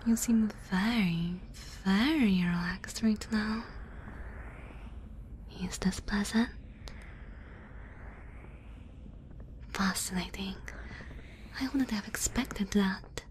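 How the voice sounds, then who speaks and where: Casting: woman to play analytical alien girl researcher, detached and curious, slow ASMR whisper over faint background ambience. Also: mid-range voice, a little hiss or hum, close to an everyday voice. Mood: fascinated. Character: clinical, curious alien girl studying a human.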